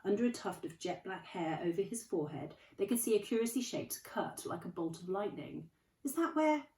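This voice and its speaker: A woman, a primary school teacher, reading a storybook aloud in an expressive manner. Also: on the low side, a little fast, distant mic. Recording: roomy, from off the mic.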